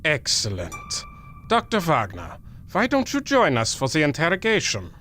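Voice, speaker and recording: A man playing a menacing villain master in an audio drama, speaking in a commanding, deliberate, threatening way. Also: fairly high pitch, huge pitch swings, bright, forceful tone, a little hiss or hum, heavily acted. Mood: smug, pleased.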